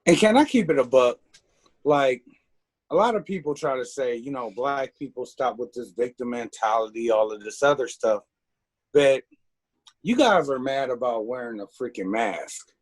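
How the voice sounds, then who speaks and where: A man's voice: medium pitch, expressive, normal speed. A man who is a guest on a casual podcast, talking emphatically and asking exasperated rhetorical questions. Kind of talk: casual chat.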